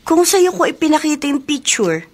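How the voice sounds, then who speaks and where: High-voiced lovelorn young woman. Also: very animated, normal speed. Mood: hurt, reproachful. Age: young adult. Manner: pleading, emotionally intense delivery. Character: lovelorn young woman secretly in love with her best friend. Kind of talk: Filipino radio romance drama acting.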